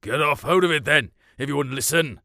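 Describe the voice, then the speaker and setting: A man puts on a gruff Welsh farmer of the old school for a storybook. He is blunt and quick-tempered, scolding as he talks. The sound is dry. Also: on the high side, sharp tone, no room sound. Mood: scolding warning.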